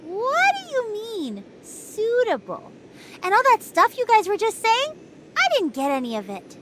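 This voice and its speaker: A naive little anime-game girl, whiny and childlike. Her pitch is extremely high and swings about in a lively way, over faint background noise. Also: unhurried, exaggerated voice. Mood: puzzled, whiny.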